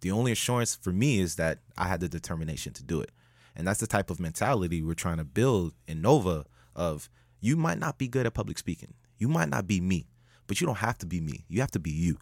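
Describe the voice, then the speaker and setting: A man telling a story as a podcast guest, animated, jumping up in pitch when he jokes. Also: fast talker, no echo, clean studio sound. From United States. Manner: animated, rises sharply in pitch when joking. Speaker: guest, public speaker.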